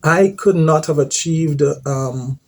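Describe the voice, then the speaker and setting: A man shares his missionary story in an earnest, measured way. His voice is dark and slightly husky, and he often pauses with fillers and restarts. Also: on the high side. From Guyana. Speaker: former volunteer missionary. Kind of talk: personal testimony to camera.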